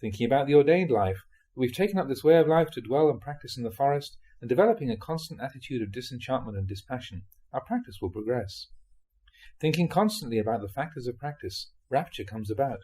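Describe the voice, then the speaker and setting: A male monk reads translated Buddhist teachings aloud. His voice is mid-pitched, soft-edged and mellow, with some huskiness. The pitch moves freely, giving the reading an expressive, storytelling shape. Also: fast talker, slightly rough. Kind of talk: reading translated Dhamma talks aloud, like an audiobook.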